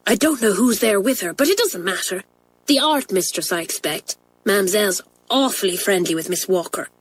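A woman voicing a bold, impulsive schoolgirl in a storybook, with a brisk, forthright manner. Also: medium pitch, expressive, husky. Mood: excited.

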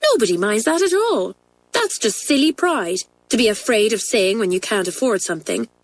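A woman's voice: fairly high pitch, very animated, normal speed, voice pushed up. A woman voicing an earnest, eager schoolgirl who speaks in a raised, pleading voice in a storybook reading.

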